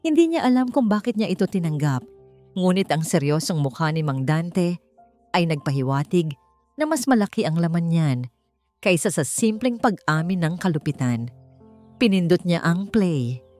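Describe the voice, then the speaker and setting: A woman reading a scripted romance drama as its narrator, in a measured, dramatic storytelling manner. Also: on the low side, big pitch swings, warm tone, soft background music.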